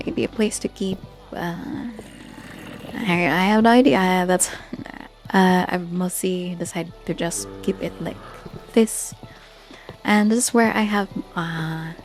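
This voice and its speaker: A person giving casual gameplay commentary in a hesitant, halting way, often searching for words. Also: mid-range voice. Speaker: gamer narrating own Minecraft build tour. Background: background music.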